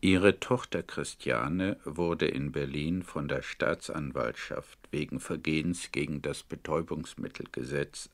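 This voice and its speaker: A man conducting a documentary radio interview, putting his questions attentively and deliberately in careful, measured pieces. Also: rumbling low.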